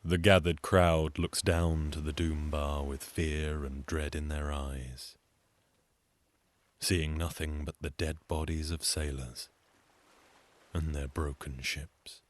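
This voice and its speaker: A man's voice: a bass voice, flat delivery, takes it slowly, no room sound. A man telling a folktale as a storyteller, in a dramatic, theatrical narrating style.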